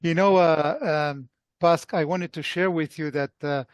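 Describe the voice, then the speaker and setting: Man, fairly high voice, close dry recording, effusive podcast co-host, halting phrasing. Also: clear, resonant tone, no room sound. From Buenos Aires, Argentina. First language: Spanish. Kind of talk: casual interview chat.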